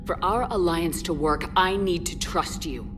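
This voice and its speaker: A woman's voice: on the low side, a little slow, over music, natural voice. An actress playing a firm, clipped female commander. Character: hard-edged female human commander. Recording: game score behind.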